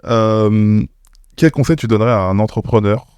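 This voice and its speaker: A man's voice: fairly low pitch, normal speed, a little mellow, clean tone, no room sound. A man hosting a casual interview, chatty and teasing as he asks questions. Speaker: podcast host.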